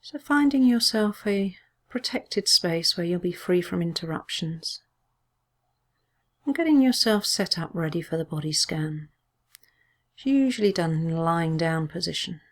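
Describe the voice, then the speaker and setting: A woman leading a guided body scan meditation as a mindfulness teacher, speaking gently and in a measured, soothing way with pauses. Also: fairly low pitch.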